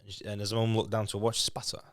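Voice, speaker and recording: A man's voice: fairly low pitch, monotone, racing, a bit bright, no echo, clean studio sound. A man in his thirties from Lithuania with a Yorkshire accent and Lithuanian as his first language, a podcast guest talking candidly in a casual chat, swearing and restarting his words often. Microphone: podcast mic.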